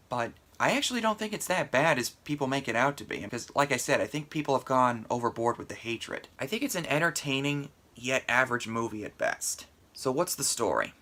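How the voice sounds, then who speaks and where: Bright-voiced, slightly congested male movie reviewer. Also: medium pitch. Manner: conversational, opinionated, emphatic. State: mildly congested, sore throat. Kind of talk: casual video review commentary.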